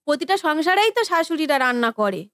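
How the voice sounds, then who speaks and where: A woman's voice: high voice, very animated, brisk, fairly bright tone, no room sound, clean studio sound. A woman voicing a touchy, defensive married eldest daughter in a Bengali family cartoon, with an emphatic, complaining manner.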